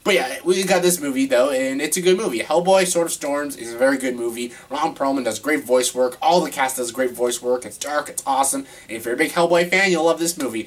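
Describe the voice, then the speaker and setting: A man with a cold and a stuffed-up nose, chatting excitedly and rambling through a casual top-ten video. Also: on the high side. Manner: excitable, rambling, repeats himself. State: congested with a cold. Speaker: vlogger ranking films.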